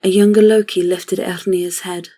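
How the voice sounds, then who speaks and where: A woman reading scripted fantasy narration for an audio drama in a measured, solemn way. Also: lowish voice, flat delivery, mellow.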